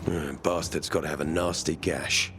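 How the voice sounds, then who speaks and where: An actor playing a stern, commanding military peacekeeper officer in a video game, authoritative and sardonic. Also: male voice, very deep voice, fairly even intonation, moderate pace. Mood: grave, urgent. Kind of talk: video game cutscene acting. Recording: game music behind the voice.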